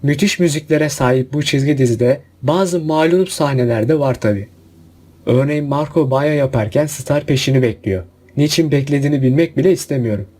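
Mellow man narrating a review. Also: fairly high pitch. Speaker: video narrator. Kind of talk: scripted countdown review narration. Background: background music.

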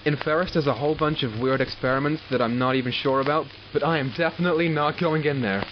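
A man's voice: fairly high pitch, steady tone, quick pace, lightly acted. A man acting in an audio drama as an arrogant, exasperated doctor who studies supernatural captives, clinical and sardonic in manner. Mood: exasperated, irritated. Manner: clinical, sardonic, self-talking. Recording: muffled, with loud background noise.

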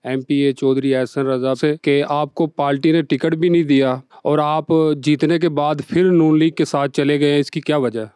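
A man's voice: on the high side, fairly even intonation, normal speed, few pauses, darkish tone, clean tone, no echo. A man in a studio audience asking a formal question into a handheld mic.

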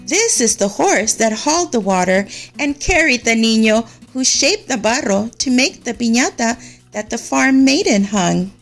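A woman narrating a children's story in an expressive, measured way, as a read-aloud storyteller. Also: on the high side, faint music behind.

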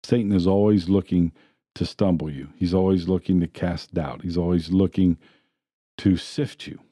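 A man with a low, very dark and mellow voice delivers a sermon, walking his listeners through scripture stories in an unhurried, plain manner. The sound is dry, with little room around it. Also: no echo. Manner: plain, steady preaching with storytelling. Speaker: preacher. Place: church sanctuary.

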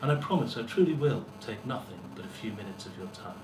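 A middle-aged man giving a dramatic, deliberate first-person story reading, with pauses and swelling emphasis. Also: on the low side, animated, loud music behind the voice. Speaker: story reader at a live festival. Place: small lecture room with seated audience. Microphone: far camera. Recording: roomy, distant camera mic, faint hiss.

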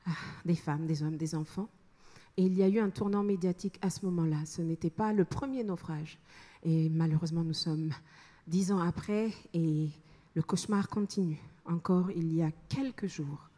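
A young adult woman from France, a singer-songwriter, speaking slowly and earnestly into a handheld mic on stage before her song. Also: fairly low pitch. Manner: slow, soft, earnest speech with pauses. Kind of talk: spoken introduction to a song on stage. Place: large concert hall stage with audience. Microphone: handheld stage microphone. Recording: long hall reverb, live band behind.

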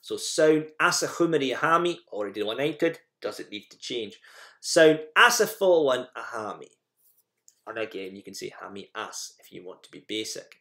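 A man's voice: mid-range voice, big pitch swings, normal speed, sharp tone. A man presenting a language lesson in an informal, instructional manner, guiding learners through slides and examples.

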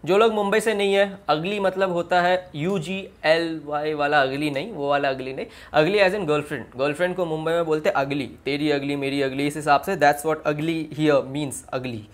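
A man's voice: fairly high pitch, fairly even intonation, moderate pace, few pauses. A man giving casual reaction commentary and explanations, mixing slangy Hindi with English phrases. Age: 20s. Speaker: music reaction video host. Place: small indoor room.

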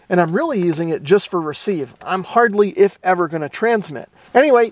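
A middle-aged American man hosting a hobby how-to, talking in an easygoing, self-deprecating, casual way. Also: sits high. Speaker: host of a radio hobby channel.

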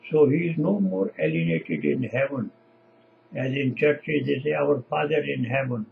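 A man in his seventies, a native Punjabi speaker with a Punjabi accent, preaching informally to camera in halting, emphatic short phrases. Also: mid-range voice, animated, creaky, phone-quality sound, a little hiss or hum. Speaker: lay preacher. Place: small indoor home office.

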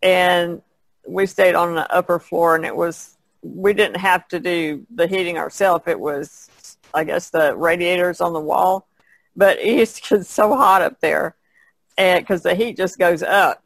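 A woman's voice: on the low side, fairly even intonation, unhurried, vocal fry, dry recording, rough recording. A woman co-hosting a podcast in casual, reflective chat, plainspoken and restating her points.

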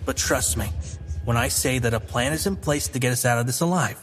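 An actor playing a firm, patient police commissioner taking charge in an audio drama. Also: male voice, medium pitch, brisk, fairly bright tone, slight background noise, lightly acted. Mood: weary, steady.

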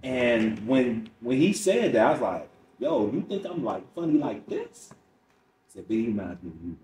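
A man's voice: medium pitch, very animated, moderate pace, a little mellow. Middle-aged American man storytelling, roomy distant sound. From Chicago, United States. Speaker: stand-up comedian, podcast guest. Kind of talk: conversational storytelling in an interview. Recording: roomy, distant mic, faint noise.

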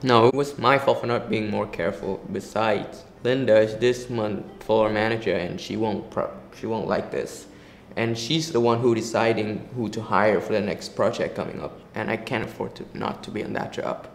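A man's voice: on the low side, steady tone, moderate pace, vocal fry. A young man playing a reluctant injured worker in an amateur scripted drama. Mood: reluctant, pained. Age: teenager or young adult. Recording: roomy echo, faint background noise.

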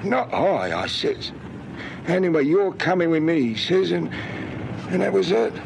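An actor playing an elderly, poor, humble man in a radio drama, rambling and halting in rustic ungrammatical speech. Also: fairly high pitch, very animated, gravelly, heavily acted. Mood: rambling, earnest. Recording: noisy old radio drama recording.

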